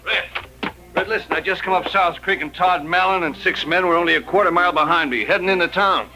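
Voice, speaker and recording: A man's voice: high-pitched, fast, rough recording. An actor playing a nervous, deferential frontier townsman in an old radio western, anxious and urgent. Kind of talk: 1950s radio western acting. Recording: old radio recording with music.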